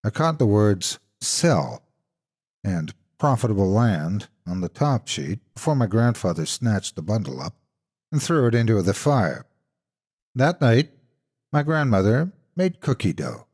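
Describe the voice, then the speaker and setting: Older male horror narrator. Lower-pitched, highly varied intonation, deliberate scripted storytelling delivery. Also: very animated, darkish tone, husky.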